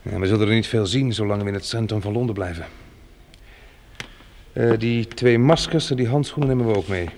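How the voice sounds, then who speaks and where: A man's voice: lowish voice, brisk, darkish tone, close to an everyday voice. Actor as resolute survivor man, noisy radio recording. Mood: practical, assured. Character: resolute, practical man surviving a monster-plant catastrophe. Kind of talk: Dutch radio play acting.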